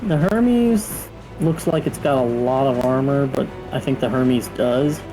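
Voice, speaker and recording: A man with a mid-pitched voice talks casually as a player in a tabletop game. Music plays clearly underneath his voice. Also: measured pace, low-quality recording. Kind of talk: casual chat.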